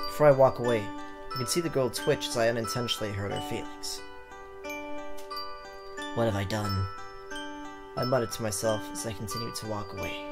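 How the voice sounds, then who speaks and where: A man hosting a let's play, reading game text aloud in a theatrical way with casual reactions. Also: medium pitch. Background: game music.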